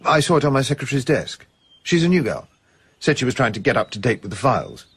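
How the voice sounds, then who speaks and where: An actor in a radio drama playing a jovial, chatty colonial official with an upper-class English accent. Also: male voice, mid-range voice, animated, quick pace, breathy edge. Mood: chatty, informative. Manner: chatty, old-school enthusiasm.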